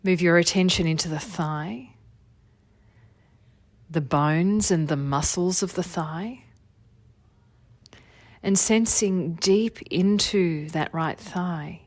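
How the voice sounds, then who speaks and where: A woman leading a guided meditation as a teacher, giving gentle, soothing body-scan instructions in an unhurried way. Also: fairly low pitch, no echo. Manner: soothing, unhurried, gentle instruction with long phrases trailing softly.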